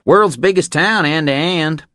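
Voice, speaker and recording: A man's voice: fairly high pitch, measured pace, a bit bright, dry recording, studio quality, voice pushed up. Guy doing a braggy young ranch hand, voice pushed way up, drawn out words, audiobook. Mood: boastful.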